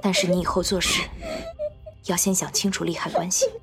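A woman's voice: fairly low pitch, wildly swooping pitch, brisk, vocal fry, music in the background, full character voice. A woman playing a cool-headed, commanding survivor leader in a zombie audio drama, stern and decisive. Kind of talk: Chinese fan audio drama acting.

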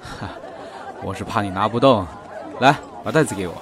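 An actor playing a gentle, indulgent and protective police boyfriend in an audio drama. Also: male voice, fairly low pitch, moderate pace, a crowd in the background, lightly acted. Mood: affectionate, teasing.

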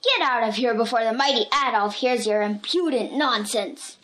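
A woman voicing a bombastic male circus ringmaster from a storybook, announcing grandly with drawn-out words and a short temper. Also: a little high, fairly bright tone, close to an everyday voice. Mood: furious.

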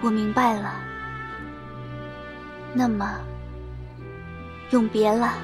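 A woman's voice: a little high, flat delivery, very slow. A woman playing a tender, wistful young woman in a sci-fi radio drama. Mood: sad, resigned. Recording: music bed under the voice.

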